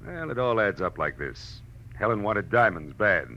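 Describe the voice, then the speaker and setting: An actor playing a tough, wry American private detective, terse and slangy, in the style of 1940s radio drama. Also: male voice, fairly low pitch, sing-song, normal speed, dull audio, a little hiss or hum. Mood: wry, explaining. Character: tough, hard-boiled male private detective narrating his case.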